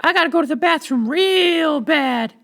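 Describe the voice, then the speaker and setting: Man putting on a very high-pitched little girl. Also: lively intonation, moderate pace, pushed and bright, exaggerated voice. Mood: urgent. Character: excitable little girl, the narrator's kid sister.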